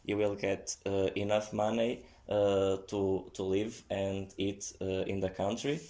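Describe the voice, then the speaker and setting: A man speaking English as an as a podcast guest, hesitant with many pauses. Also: deep voice, little pitch movement, warm tone. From Portugal. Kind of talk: casual chat.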